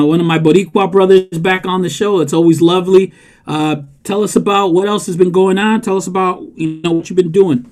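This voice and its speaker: Male podcast host, fairly high voice, relaxed joking delivery with lots of "uh"s. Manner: laid-back, joking, stalls on fillers. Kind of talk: casual podcast chat.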